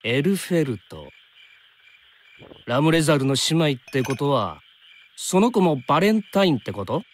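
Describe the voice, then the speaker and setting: An actor playing an easygoing young time traveler in a Japanese anime game, chatting in casual slang with an undercurrent of worry. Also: male voice, on the high side, very animated, normal speed, slight background noise. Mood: puzzled, teasing.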